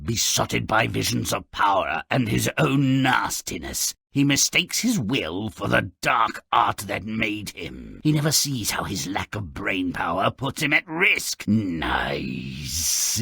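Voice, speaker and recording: Casting: sardonic dwarf storyteller for a game, harsh gravelly rasp, slow mock-dramatic delivery, mean-spirited wit. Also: male voice, lowish voice, very animated, no room sound, studio quality, exaggerated voice. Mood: gleefully wicked. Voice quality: gravelly, raspy. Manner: sneering, mock-dramatic, swooping from mutters to shouts. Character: Grimm, cranky sardonic fairy-tale-mocking male dwarf gremlin narrator. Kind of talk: theatrical storybook narration for a video game.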